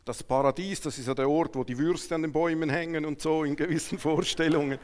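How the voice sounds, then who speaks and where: High-voiced Swiss German preacher in a hall. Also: male voice, steady tone, quick pace. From Switzerland. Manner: emphatic, swinging between hushed asides and raised declarations. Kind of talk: sermon to a congregation. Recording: long hall reverberation.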